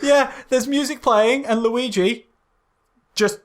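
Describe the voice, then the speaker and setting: A man's voice: very high-pitched, slow, a little hiss or hum. A man co-hosting a casual podcast, reviewing a film in a loose, rambling way with many fillers and agreements. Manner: loose, rambling, lots of "yeah" and "um". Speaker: podcast co-host.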